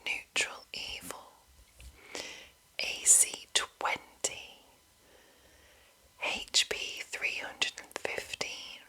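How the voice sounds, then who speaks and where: A woman whispering in a breathy, unvoiced ASMR style, reading deliberately with pauses between short phrases. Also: pushed and bright. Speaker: ASMR host. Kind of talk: whispered ASMR reading of fantasy game rules.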